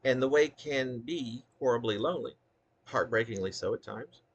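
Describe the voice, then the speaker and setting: A man with a gravelly, husky voice reading his own poem aloud in earnest, deliberate phrases, with casual asides. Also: medium pitch, highs cut off. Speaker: poet reading own work.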